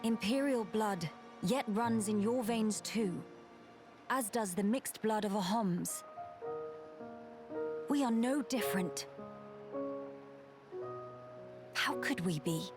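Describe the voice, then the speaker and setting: Slow-spoken, earnest young noblewoman played by a woman. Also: medium pitch, music in the background, close to an everyday voice. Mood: solemn. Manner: measured, formal, courtly phrasing. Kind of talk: dramatic video game acting.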